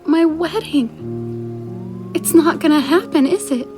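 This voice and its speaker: A woman's voice: high-pitched, expressive, slow pace, over music. A woman playing a distraught young bride in a children's audio drama. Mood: dejected. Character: distraught young bride confiding in her granddad.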